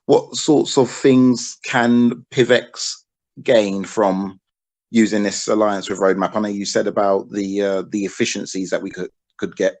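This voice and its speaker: A man's voice: fairly low pitch, a little mellow. A man moderating a conference call, asking questions in a conversational, slightly hesitant and polite way.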